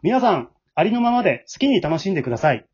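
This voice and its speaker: A man's voice: high-pitched, sing-song, fast talker, darkish tone, slightly rough, muffled recording. A man hosting a podcast in a chatty style. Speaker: host.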